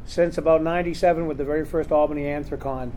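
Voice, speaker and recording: A rather high-voiced man talks casually in a convention panel room. He rambles loosely and leans on "um" and "you know" as he goes, like someone used to hosting these talks. There is a faint hum of room ambience behind him. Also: steady tone, fast talker, dark tone. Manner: rambling, chatty, full of fillers. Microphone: recorder in the room.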